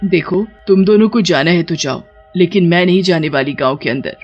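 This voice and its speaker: A woman voicing a stern, cautious eldest witch sister in an animated story, scolding and warning. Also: fairly low pitch, moderate pace, lo-fi sound, faint music behind, close to an everyday voice. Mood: firm refusal.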